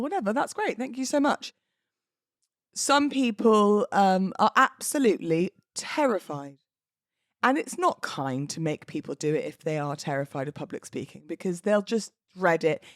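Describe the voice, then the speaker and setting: A woman's voice: medium pitch, no echo, studio quality. A British woman hosting a podcast on her own, chatting in a playful, theatrical way. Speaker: podcast host and wedding celebrant. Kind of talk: chatty solo podcast talk.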